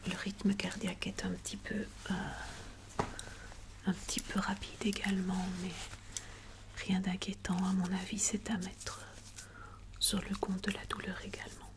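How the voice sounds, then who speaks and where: Female ASMR doctor: soft breathy whisper, little pitch movement, slow, reassuring bedside delivery. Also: completely flat delivery. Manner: slow, gentle, careful, reassuring. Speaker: ASMR performer playing a doctor. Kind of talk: ASMR medical roleplay.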